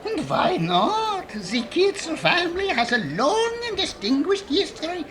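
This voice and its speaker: Very high-voiced jolly hot dog vendor. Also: male voice, wildly swooping pitch, measured pace, heavily acted. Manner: chuckling, sing-song, quaint phrasing. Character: cheery chuckling hot dog vendor, Mister Kitzel. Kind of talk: 1940s radio comedy acting. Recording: live audience, laughing crowd behind.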